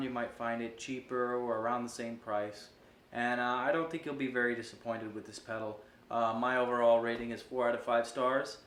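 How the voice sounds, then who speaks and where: A man's voice: mid-range voice, a bit bright. Man giving an informal demo, roomy echo. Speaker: host reviewing guitar gear. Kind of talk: casual product demo and review. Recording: roomy echo, noticeable background noise.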